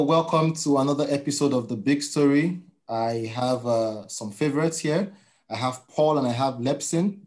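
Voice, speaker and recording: A man's voice: mid-range voice, darkish tone. A man hosting an interview in a casual, informal way, with easygoing approving interjections. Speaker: interview host.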